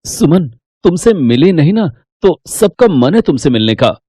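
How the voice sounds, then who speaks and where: Man acting a young husband, friendly, cartoon family drama, higher-pitched, husky, lively intonation. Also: a little slow, dry recording, full character voice. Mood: casual. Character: young married office-working son, casual and friendly.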